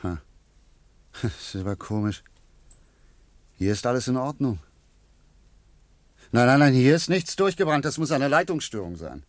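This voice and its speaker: An actor playing a practical, protective doctor in a German radio play, speaking in a matter-of-fact but urgent manner. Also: male voice, mid-range voice, very animated, a little slow, pauses often. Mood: calm, practical. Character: practical male doctor, level-headed, protective, wary.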